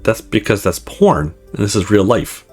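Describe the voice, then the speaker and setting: A player voicing a calm, teasing, reassuring young man in a visual novel. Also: low voice, normal speed, husky, faint music behind, voice pushed down.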